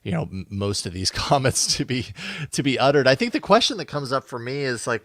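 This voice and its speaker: A man's voice: medium pitch, a bit bright, no room sound, clean studio sound. A man co-hosting a podcast, discussing casually and thinking aloud in a musing, tentative way. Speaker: podcast co-host.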